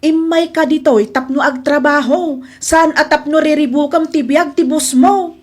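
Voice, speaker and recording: A woman's voice: high voice, steady tone, a little slow. A Filipino-accented woman playing a sensible, scolding friend of a housemaid in a comedy drama. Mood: disapproving, incredulous. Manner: scolding, incredulous. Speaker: actor.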